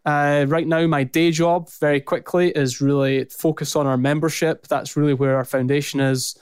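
A Scottish man in his thirties with a smooth, crisp voice, speaking casually as a guest over a remote call. He strings long lists together in a loose, chatty flow. The sound is close and dry, with no room around it. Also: a little high, moderate pace, no room sound. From Scotland. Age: 30s. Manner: rambling, list-heavy, lively. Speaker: podcast guest, business founder. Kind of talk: casual interview talk. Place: his office.

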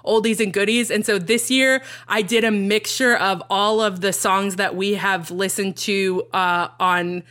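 A woman in casual podcast chat, telling animated stories and laughing often. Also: mid-range voice, measured pace, hardly any pauses. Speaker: guest.

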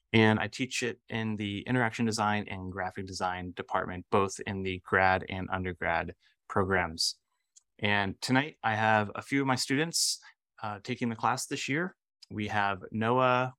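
A man's voice: fairly low pitch, fairly bright tone, no room sound. A man who teaches design, hosting a podcast episode in an easygoing, friendly way.

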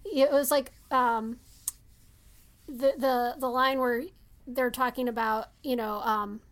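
High-pitched, emphatic woman podcaster. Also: fairly even intonation, slow pace. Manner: emphatic, mimics imagined remarks, meandering. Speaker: podcast co-host. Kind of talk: casual film discussion.

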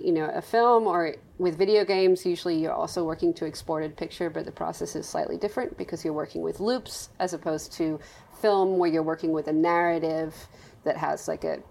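Brief: late-30s woman, softened Australian accent, slightly low voice, candid interview guest, often restarts phrases. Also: vocal fry. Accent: Australian, softened toward American. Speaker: film and game composer.

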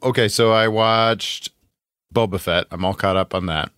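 A man's voice: fairly low pitch, fairly even intonation, normal speed, a bit bright, smooth, dry recording, studio quality. A man co-hosting a podcast, talking casually about pop culture. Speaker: podcast co-host.

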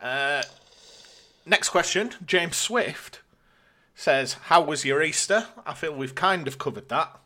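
A man's voice: on the high side, animated, slow pace, bright, forceful tone. A British man hosting a podcast in casual chat, with a dry, jokey delivery. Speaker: podcast host.

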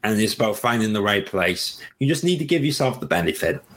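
A man hosting a webinar, giving casual, energetic job-search advice and often repeating himself. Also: on the low side, a little fast. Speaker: webinar host. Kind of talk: motivational talk.

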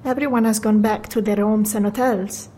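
A woman's voice: medium pitch, fairly even intonation, normal speed, warm tone, slight background noise, lightly acted. A woman playing a chatty, fatalistic Venetian waitress in an audio drama, speaking English with an Italian accent and non-native phrasing. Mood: hushed, wistful. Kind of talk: naturalistic audio drama acting.

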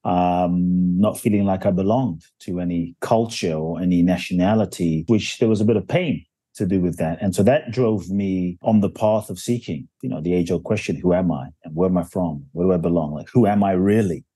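Deep husky-voiced man, earnest storyteller. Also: moderate pace, hardly any pauses, warm tone, dry recording. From Ghana, raised in London. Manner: earnest and reflective, builds stories with repetition. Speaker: guest, spiritual teacher and author. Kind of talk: personal storytelling in a podcast interview.

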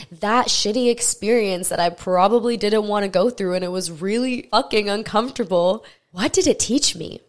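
A young woman with a stuffy nose hosting a solo podcast, talking candidly and earnestly, swearing casually as she goes. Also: mid-range voice. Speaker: podcast host.

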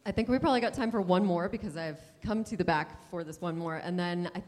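Lower-voiced woman, long hall reverb. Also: fast, a little mellow. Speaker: podcast co-host moderating a panel. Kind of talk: casual live panel chat. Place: large room with a live audience, indoors.

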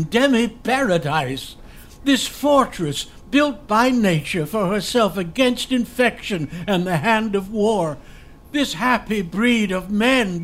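A man playing a dying old nobleman, fervent and prophetic, speaking breathy, laboured verse in a Shakespearean radio drama. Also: sits high. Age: older.

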